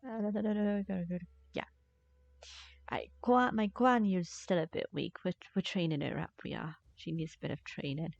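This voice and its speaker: A woman's voice: lowish voice, steady tone, moderate pace, creaky, no room sound. A woman streaming a video game with casual, rambling commentary, half talking to herself. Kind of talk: casual live gameplay commentary. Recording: dry close sound, slightly dull band.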